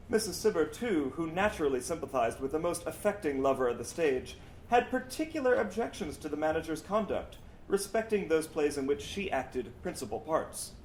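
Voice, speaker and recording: Higher-pitched man reading aloud, lively intonation. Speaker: reader. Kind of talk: scripted reading aloud of a historical account. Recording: roomy echo, background noise.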